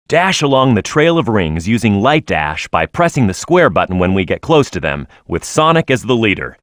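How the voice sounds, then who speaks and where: A man's voice: lowish voice, dry recording, clean studio sound. An actor voicing a tough, confident young male echidna hero in a video game, giving punchy, scripted instructions. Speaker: video game character giving gameplay hints.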